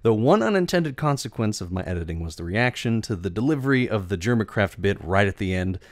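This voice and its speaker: A man narrating a scripted explainer about his own work in a measured, articulate way. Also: on the low side, big pitch swings, no room sound, clean studio sound. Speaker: video creator and narrator.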